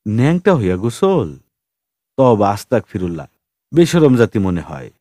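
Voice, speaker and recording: A man's voice: lowish voice, very animated, normal speed, warm tone, dry recording, clean studio sound. A man voicing a huge, naively earnest street hustler in an audiobook, speaking colloquial rustic dialect in a respectful, pleading way.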